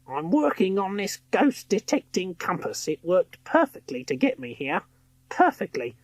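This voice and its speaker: Man voicing a scheming inventor villainess, high and husky, sneering. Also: big pitch swings, a little slow, dry recording, heavily acted. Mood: bossy. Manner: sneering, hissing.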